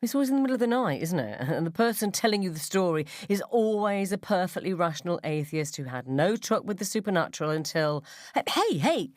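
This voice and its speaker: A woman's voice: on the low side, big pitch swings, a little fast, darkish tone, dry recording, studio quality. A woman playing a scornful, gossipy mother at the school gates in a radio story, with an emphatic, mocking drawl. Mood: mocking incredulity.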